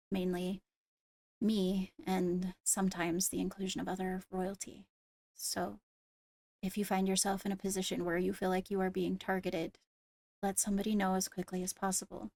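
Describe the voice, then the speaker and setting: Creaky-voiced woman playing a poised royal ruler. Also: medium pitch, darkish tone, no room sound, natural voice. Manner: measured, formal, hesitant between phrases. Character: poised female royal ruler addressing her court. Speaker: leader of the meeting. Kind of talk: improvised Minecraft roleplay.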